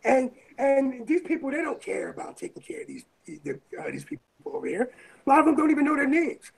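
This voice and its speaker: Raspy, husky New woman. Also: high voice, big pitch swings, brisk, darkish tone, rough recording. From Brooklyn, New York. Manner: rambling, emphatic, repeats phrases. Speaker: interviewee, former firefighter and EMT. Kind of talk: casual interview talk.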